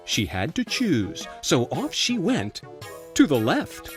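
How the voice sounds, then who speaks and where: A man narrating a scripted children's storybook tale as its storyteller. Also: mid-range voice. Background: faint music.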